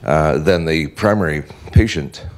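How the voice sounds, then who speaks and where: Very deep-voiced man asking a question. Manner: measured, hesitant with uh's. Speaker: audience member asking a question. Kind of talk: conference Q&A. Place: conference room.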